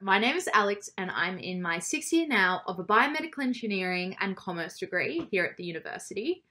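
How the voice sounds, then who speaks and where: A woman's voice: mid-range voice, big pitch swings, fairly quick, sharp tone. Earnest young woman student. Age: 20s. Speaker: university student. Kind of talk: casual interview answers. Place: bedroom.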